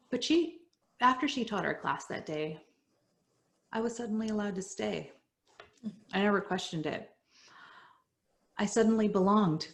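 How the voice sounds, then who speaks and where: Middle-aged woman, Spanish first language, low-ish voice; measured, earnest personal storytelling. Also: vocal fry. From United States. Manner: earnest, measured, dropping soft at line ends. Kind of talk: reading a personal essay. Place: home, virtual event.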